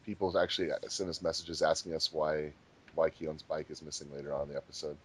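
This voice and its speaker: A man's voice: extremely low pitch, flat delivery, quick pace, husky. A man chatting casually and explaining things as host of a commentary track.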